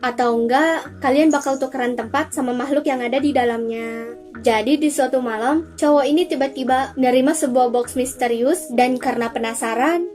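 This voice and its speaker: A woman's voice: sits high, a little mellow. A young woman narrates short spooky story recaps in a casual, chatty way, speaking straight to viewers and stretching some words for emphasis. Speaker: storyteller and channel host. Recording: voice-over with faint music underneath. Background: faint background music.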